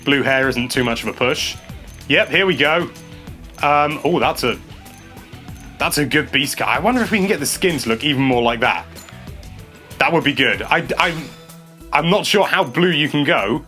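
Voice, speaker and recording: A young man hosting a casual gameplay commentary, chatty and excitable, stumbling over his words and laughing a lot. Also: on the high side, moderate pace, pauses often, soft background music. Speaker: games journalist host. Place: small indoor room.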